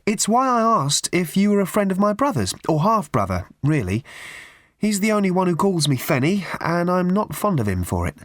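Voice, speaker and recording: A man's voice: high voice, very animated, moderate pace, a bit bright, no room sound, voice pushed up. A man voicing a wry young woman who speaks in a dry, playful but firm way. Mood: teasing.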